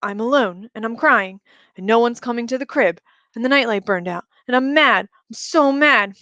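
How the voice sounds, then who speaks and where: A frightened, angry teenage boy in an audiobook, acted out by a woman. The voice is raised and halting, clinging to broken phrases as he slips away, in a dry recording. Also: a little high, bright, forceful tone, no echo, lo-fi sound. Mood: scared, confused.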